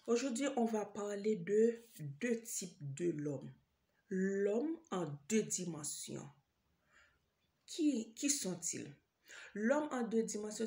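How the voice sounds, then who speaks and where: A woman's voice: mid-range voice, animated, takes it slowly, dark tone. A woman from Haiti with a Haitian accent, preaching an informal sermon straight to the listener, hesitant, full of fillers and repeated phrases. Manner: addresses listener directly.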